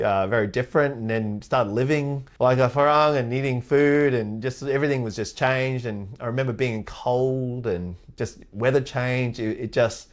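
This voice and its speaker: A man from Thailand raised in Australia, speaking with an Australian accent as an interview guest, relaxed and laughing often. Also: medium pitch. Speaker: guest, head of sales operations. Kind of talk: casual interview chat.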